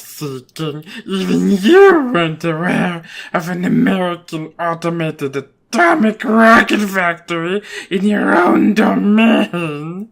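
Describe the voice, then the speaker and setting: Gravelly, slow-talking gloating supervillain. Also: male voice, high-pitched, a bit bright, heavily acted. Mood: exultant. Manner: grandiose, deliberate. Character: gloating male supervillain crime boss, scheming and triumphant. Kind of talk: motion comic villain acting.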